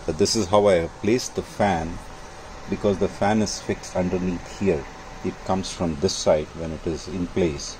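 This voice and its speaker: An older man giving an informal technical demonstration as a radio hobbyist, speaking in a measured, matter-of-fact way. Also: deep voice. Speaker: amateur radio hobbyist presenting. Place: indoor home radio workshop. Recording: clearly noisy home workshop recording.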